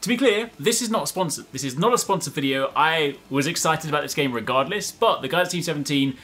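A man in his thirties giving casual, chatty, excitable gameplay commentary, often repeating short phrases. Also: fairly high pitch, fast talker, soft background music. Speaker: gaming video host. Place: indoors at a desk, gaming setup.

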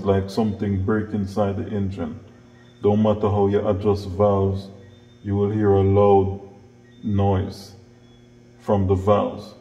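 Male workshop instructor, middle-aged, low mellow voice, explanatory, recorded on a camera mic in a bare room. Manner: plain-spoken, explanatory, step by step. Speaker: mechanic giving instruction. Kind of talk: hands-on tutorial narration. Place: small bare-walled indoor auto workshop. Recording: echoey hard-walled room, noticeable background noise.

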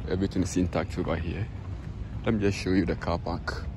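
A Ghanaian man with a low-pitched voice presents an informal walking commentary for sports viewers. He checks in with his audience often as he goes. The handheld outdoor recording carries very heavy background noise. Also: level tone, fairly quick. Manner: informal, frequent "you understand" check-ins. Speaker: sports channel host. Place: outdoors, open stadium grounds and car park.

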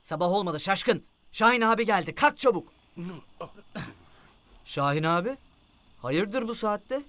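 A man's voice: high-pitched, animated, unhurried, bright, forceful tone, heavily acted. An actor voicing an irritable, scheming henchman in a children's cartoon, snappy yet eager to please. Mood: irritated. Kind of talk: animated children's series dubbing.

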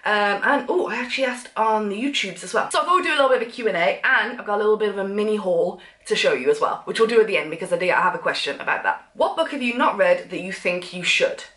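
Chatty woman vlogging fast. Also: mid-range voice, reverberant. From England. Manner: chatty, animated, gestures while talking. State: blocked up with a cough. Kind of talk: casual chat to camera. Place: sunroom with sofa, indoors.

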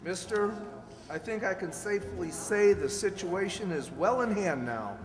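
A man's voice: high-pitched, animated, a little slow, a crowd in the background. Earnest fugitive doctor acted by a man, echoing hall. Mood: sober. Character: earnest middle-aged fugitive doctor posing as a farmer. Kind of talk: old-time radio drama acting read from script. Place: stage in a community hall. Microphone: stand microphone. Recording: echoey hall stage, distant reverberant sound.

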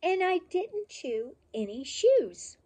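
A woman's voice: very animated, slow pace, exaggerated voice. A woman doing a pleading little puppy. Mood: earnest. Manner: pleading, high and loud. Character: eager little male puppy wanting a boy.

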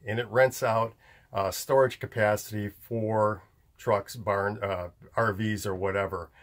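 An older man hosting a homestead vlog, explaining barn building in a plainspoken, folksy way on a lapel mic. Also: lowish voice. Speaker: homestead channel host. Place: indoor room, later inside a metal pole barn.

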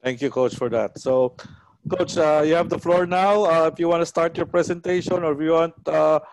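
A man in his forties with a Filipino accent, hosting an online coaching talk informally and haltingly into a headset. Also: fairly high pitch, steady tone, dry recording, poor audio. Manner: halting, hesitant delivery. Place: small room at home.

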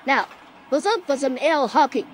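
A man's voice: very high-pitched, lively intonation, moderate pace, slight background noise. A cloned voice playing a boastful cartoon boy who calls himself a legend. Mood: boasting. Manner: boastful, cocky.

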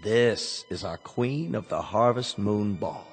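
An actor playing a smooth company salesman in a radio drama, with polished, reassuring patter. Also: on the low side, takes it slowly, heavily acted. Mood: courteous, selling. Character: smooth persuasive salesman of replacement android bodies. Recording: hall reverb with music behind.

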